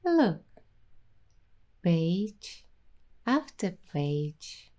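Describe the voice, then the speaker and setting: A woman hosting an ASMR roleplay, talking softly and slipping into whispers now and then. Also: fairly low pitch, very animated, drawn-out words. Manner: soft-spoken, slipping into whispers. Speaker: ASMR host.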